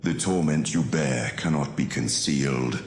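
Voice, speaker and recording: Ancient villain master for audio drama: extremely deep, creaking, contemptuous, ominously slow delivery. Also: male voice, a little hiss or hum. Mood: sinister, probing. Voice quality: gravelly, creaky. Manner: slow, deliberate, ominous, imperious. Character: menacing ancient reptilian dark-side master, cunning and contemptuous. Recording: echoing voice over music.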